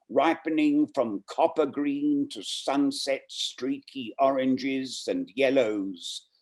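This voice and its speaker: A man reading poetry aloud in measured, deliberate phrases with frequent pauses on an online call. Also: mid-range voice. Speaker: poetry reader. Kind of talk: poetry recitation.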